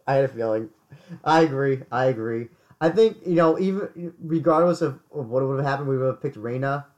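A man co-hosting a casual podcast chat, hoarse, often stumbling and repeating words. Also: medium pitch, a little fast, a little mellow. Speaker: podcast co-host.

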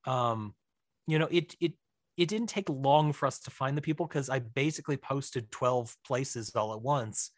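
A man chatting casually as an interview guest, animated and rambling, often correcting himself mid-sentence. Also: mid-range voice, normal speed, dry recording, studio quality. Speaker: writer-director of audio dramas, podcast guest.

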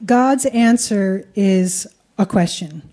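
A woman's voice: medium pitch, takes it slowly, warm tone. A woman preaching a sermon in short phrases, in a conversational, colloquial way. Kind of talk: sermon delivered in short phrases for live interpretation.

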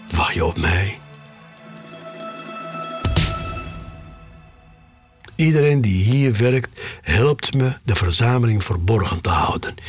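An actor playing a defiant, nostalgic middle-aged collector in a theatrical, halting audio drama monologue. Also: male voice, on the low side, breathy edge, no echo, heavily acted. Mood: confiding. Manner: confiding, theatrical, halting and emphatic. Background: music.

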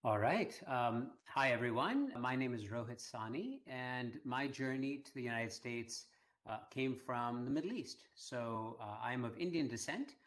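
A middle-aged man at an ordinary pitch, talking through his story as an interview guest. He speaks in a measured, unhurried way, with plenty of small fillers as he gathers his thoughts. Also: lively intonation, fairly bright tone. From Iran. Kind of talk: conversational interview.

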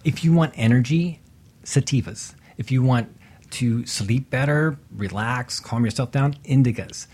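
A man's voice: medium pitch, unhurried, a little mellow. A man guest on a talk radio show, explaining things in short, fact-listing bursts. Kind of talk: casual talk radio discussion.

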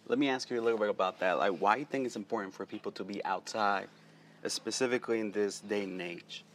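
A man's voice: on the low side, fast talker. A man hosting a casual interview outdoors, friendly and loose, often repeating words as he starts questions. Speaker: interviewer, host.

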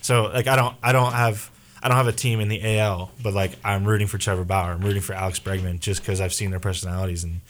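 A man's voice: lowish voice, steady tone, fast. A man hosting a casual sports chat, rambling loosely and leaning heavily on filler words. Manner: rambling, heavy on filler words, trails off mid-thought. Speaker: podcast host.

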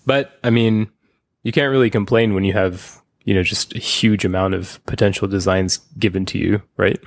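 Candid man, low dry voice. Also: moderate pace, no echo. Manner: relaxed, candid, with laughs and asides. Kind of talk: casual chat.

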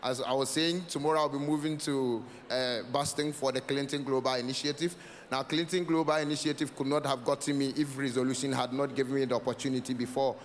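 A man's voice: medium pitch, steady tone, quick pace. A man in his twenties with a Ghanaian accent gives an earnest personal speech of thanks, repeating phrases for emphasis. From Northern Ghana. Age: 20s. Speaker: project founder, guest speaker. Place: large event hall. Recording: echoey hall with background noise.

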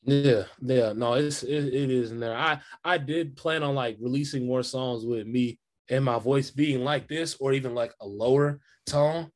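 A man's voice: mid-range voice, unhurried, few pauses, darkish tone. A young man, a guest musician, chatting casually on a video call, rambling with lots of fillers. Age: young adult. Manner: loose, rambling, filler-heavy talk. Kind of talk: casual interview chat.

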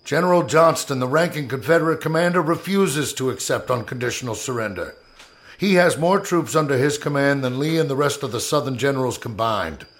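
A man's voice: medium pitch, fairly quick, fairly bright tone, close to an everyday voice. Man acting a stern, commanding American war secretary. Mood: urgent, forceful. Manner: formal, authoritative. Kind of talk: historical audio drama acting.

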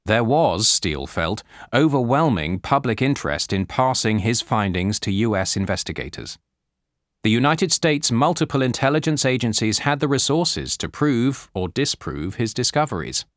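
A man reading nonfiction as an audiobook narrator, measured and clearly articulated, in a scripted style. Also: medium pitch, very animated, no echo, studio quality. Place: dry studio recording.

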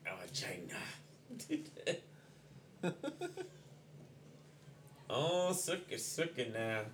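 A man's voice: mid-range voice, sing-song, very slow, slight background noise. A man chatting casually with a friend while they watch a movie, giggling and riffing on its quotes.

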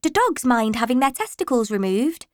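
A woman's voice: fairly high pitch, very animated, normal speed, no room sound, studio quality. A woman voicing cheeky, insolent teenage schoolchildren in an audiobook. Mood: curious, pert. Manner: insolent, pert.

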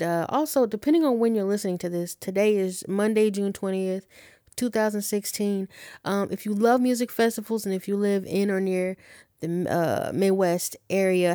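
A woman's voice: medium pitch, a little mellow, dry recording, clean studio sound. A woman with an American accent giving a casual introduction as a podcast host.

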